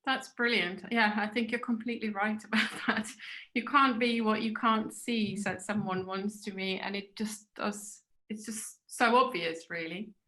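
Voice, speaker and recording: Bright-voiced woman hosting an event. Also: medium pitch, steady tone, a little slow. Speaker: event host. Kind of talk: hosting an online talk event.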